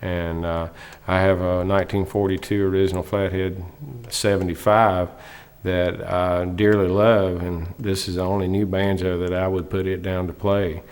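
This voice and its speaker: Deep-voiced earnest banjo player. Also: male voice, flat delivery, moderate pace, a little mellow, clear, resonant tone, big-room sound. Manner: earnest, with "uh" fillers. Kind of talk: interview. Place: studio with dark backdrop.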